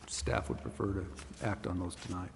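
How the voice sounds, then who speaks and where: Gravelly low man, echoey hall. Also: fairly even intonation, moderate pace. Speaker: city staff adviser. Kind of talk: advising at a public meeting. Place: council chamber. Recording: echoey hall, faint noise.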